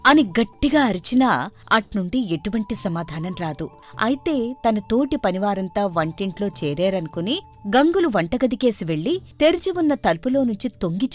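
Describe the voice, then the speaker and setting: A woman narrating a scripted story in an expressive storytelling manner. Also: medium pitch.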